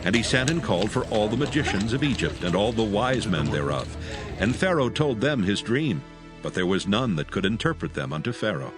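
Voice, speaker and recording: A man's voice: fairly low pitch, sing-song, fairly quick. A man narrating scripture as a solemn storyteller in a dramatized audio style. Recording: music behind the voice.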